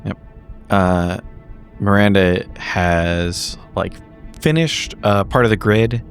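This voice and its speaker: A man playing a tabletop game, narrating his character's actions haltingly as he thinks aloud. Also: on the low side, faint music behind. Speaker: player.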